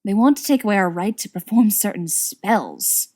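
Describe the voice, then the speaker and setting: A woman's voice: mid-range voice, expressive, moderate pace, warm tone, natural voice. A woman voicing a young male wizard extremist in an audiobook, earnest and persuasive with a bitter edge. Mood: indignant.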